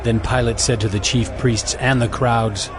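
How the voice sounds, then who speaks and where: A man narrating scripture from a script in a solemn, measured manner. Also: mid-range voice, steady tone, crowd noise, background noise.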